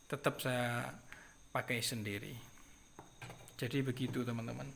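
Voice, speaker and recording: A man in his thirties from East Java with a Madurese accent and a nasal voice, chatting casually to his viewers as a keris collector, unhurried and repeating himself. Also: medium pitch, fairly even intonation, creaky, background noise. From Jember, East Java, Indonesia. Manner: unhurried, repeats phrases, drops in soft filler sounds. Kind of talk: casual vlog talk to viewers.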